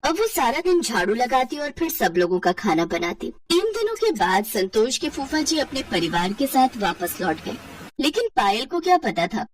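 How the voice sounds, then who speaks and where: High, husky female storyteller. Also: very animated, moderate pace, distant mic, low-quality recording. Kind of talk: scripted dramatic story narration.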